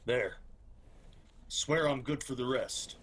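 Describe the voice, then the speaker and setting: Radio play fugitive soldier: honest, tired, Appalachian, mid-range voice with a clear edge, slight background noise. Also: male voice, mid-range voice, takes it slowly, a bit bright. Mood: weary, grateful. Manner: plain-spoken, folksy. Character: weary, decent Union soldier in hiding, Harmon. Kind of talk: radio drama acting.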